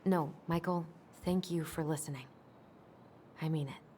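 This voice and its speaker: An actress playing an anxious, sleep-deprived young American woman in a video game, speaking softly and hesitantly. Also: low voice, fairly even intonation, a little slow, a little hiss or hum. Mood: subdued, sincere. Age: young adult. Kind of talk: naturalistic video game acting. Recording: music behind the voice.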